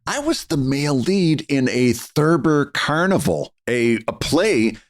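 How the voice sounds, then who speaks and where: A man co-hosting a podcast in casual chat, with crisp, careful enunciation and popping P sounds. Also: fairly high pitch, big pitch swings, a little slow. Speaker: podcast co-host.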